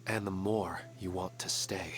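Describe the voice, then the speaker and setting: An actor playing a gentle, resigned family man who speaks politely and quietly wistful. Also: sits low, fairly even intonation, measured pace. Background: game music.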